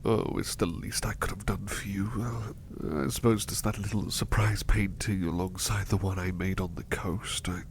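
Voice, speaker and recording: Casting: male actor as tender, devoted butler for ASMR roleplay; hushed, hesitant, courteous, gravelly low register, faint noise and rumble behind. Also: steady tone, normal speed, hardly any pauses. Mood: grateful, under the weather. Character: gentle, devoted male butler, doting and apologetic. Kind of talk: ASMR roleplay, soft personal attention.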